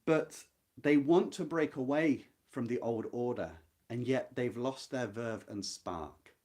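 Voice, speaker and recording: A man explaining a novel to viewers as a readalong host, speaking emphatically and deliberately and repeating his key points. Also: mid-range voice, darkish tone. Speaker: host of a book readalong, literary commentator.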